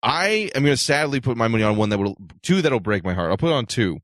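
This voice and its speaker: A man's voice: medium pitch, big pitch swings, fast, dry recording, clean studio sound. A man co-hosting a talk show with casual panel banter. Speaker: show co-host.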